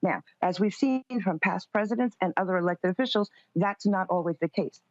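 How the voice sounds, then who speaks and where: A woman's voice: lowish voice, fairly quick, no echo. A woman speaking as a political interview guest, firm, assertive and guarded in her answers. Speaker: political guest, conservative commentator. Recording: slightly dull, dry lo-fi audio.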